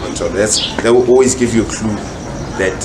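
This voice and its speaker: A man's voice: lowish voice, brisk. A South African man talking casually and explaining himself in slang as an interview guest, a rapper and songwriter. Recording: noisy ambience with birdsong.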